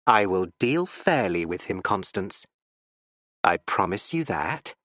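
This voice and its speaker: A male audiobook reader voicing a cold, measured older judge with an imperturbable, dry manner. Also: low-pitched, big pitch swings, a bit bright, no echo, pitched below the performer's own voice, full character voice.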